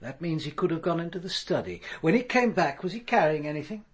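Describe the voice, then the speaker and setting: A man playing a stern, probing police commander in a radio drama, speaking in a measured, incisive way. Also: on the high side, animated, breathy edge. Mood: stern, accusing. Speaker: actor playing the lead detective and narrator. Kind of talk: radio drama acting and narration.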